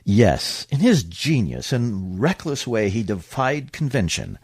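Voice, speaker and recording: An actor playing a polished, courtly show host with formal, grand 1950s radio announcing. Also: male voice, fairly low pitch, very animated, slow, darkish tone, breathy edge, dry recording, studio quality, exaggerated voice. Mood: courtly, promotional.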